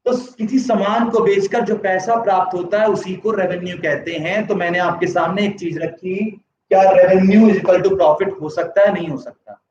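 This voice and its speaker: A man's voice: high voice, fairly even intonation, moderate pace, darkish tone. A man teaching an economics class online, speaking with a sore throat, mixing English terms into his talk and asking students yes-or-no questions. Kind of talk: live online class lecture. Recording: echoey, distant, slightly dull.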